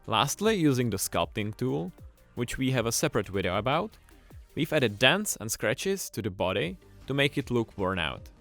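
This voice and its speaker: A man presenting a scripted tutorial, explaining things clearly and with enthusiasm. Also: mid-range voice, sing-song, moderate pace, a bit bright. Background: music.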